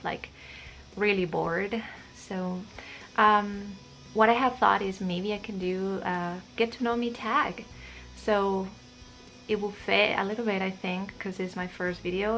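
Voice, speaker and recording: A woman's voice: medium pitch, a bit bright, rough recording. A young woman from Spain with a Spanish accent, chatting shyly and hesitantly to camera, with many fillers. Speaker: vlogger. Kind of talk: casual chat to camera.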